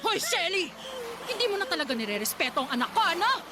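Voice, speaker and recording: Shrill, furious Filipino mother. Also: very animated, fast talker, heavy background noise. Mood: furious, threatening. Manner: scolding, combative, menacing. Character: hot-tempered, overbearing mother defending her grown son. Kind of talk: Filipino radio drama acting.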